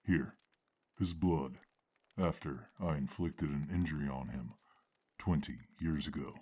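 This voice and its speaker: A man's voice: rumbling low, a little slow, warm tone, slightly rough, full character voice. An actor playing a blunt, grim nobleman who speaks in terse, matter-of-fact phrases. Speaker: nobleman Lord Bardock.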